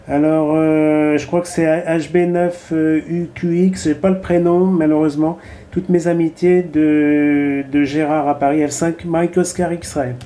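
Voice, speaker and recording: A man who is an amateur radio operator, haltingly reading callsigns and signing off. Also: fairly high pitch, level tone, dark tone. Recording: clear background noise.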